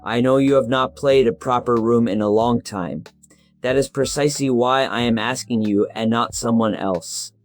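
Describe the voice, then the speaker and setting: A man playing a formal music venue owner in an audio drama, precise and courteous, in short deliberate phrases. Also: mid-range voice, natural voice. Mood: formal, assured.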